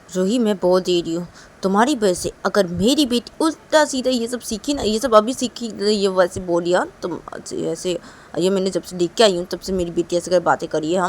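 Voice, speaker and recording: A woman acts out an angry father in a homemade story drama. The voice scolds and accuses in halting, repeated warnings, protective of a child. Clear noise and traffic ambience sit behind it. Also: mid-range voice, darkish tone, natural voice. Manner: scolding, repetitive, halting threats. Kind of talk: amateur story acting.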